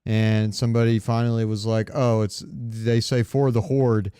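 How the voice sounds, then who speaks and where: A man's voice: fairly low pitch, level tone, moderate pace, warm tone, smooth, no room sound. A man co-hosting a gaming podcast in casual chat, swearing now and then, close to the mic. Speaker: podcast co-host. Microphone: close podcast microphone.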